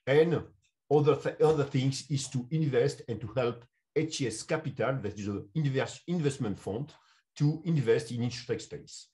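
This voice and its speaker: A man, a consulting CEO answering interview questions over a video call. He speaks in measured, English. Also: medium pitch, mellow. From France. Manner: measured, non-native English phrasing. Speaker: guest, insurance consulting CEO. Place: home room.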